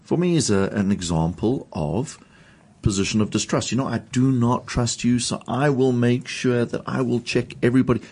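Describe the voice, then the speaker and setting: A man's voice: medium pitch, moderate pace, a little mellow, breathy edge. A man co-hosting a podcast panel chat, teasing his co-hosts. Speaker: podcast co-host.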